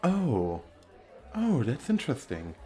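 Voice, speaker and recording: A man playing a gentle, awkward single dad who flirts shyly and hesitantly in an ASMR roleplay. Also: mid-range voice, huge pitch swings, slow pace, faint music behind, some chatter behind, pitched above the performer's own voice. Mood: shy, amused. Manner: hesitant, self-deprecating, soft chuckles.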